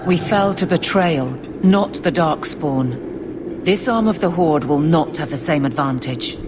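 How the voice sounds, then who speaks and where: Casting: protective, plain-spoken soldier wife, deep for a woman, video game drama, clear background noise. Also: lively intonation, unhurried. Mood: resolute. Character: steadfast female warrior, protective wife, blunt and practical. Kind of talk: fantasy video game dialogue. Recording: clear battlefield ambience behind game dialogue.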